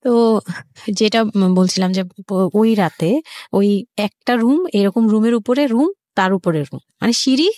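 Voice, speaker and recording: A woman with a Bangladeshi accent telling her own story informally as a guest, haltingly, repeating phrases. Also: medium pitch, dark tone, no echo, studio quality.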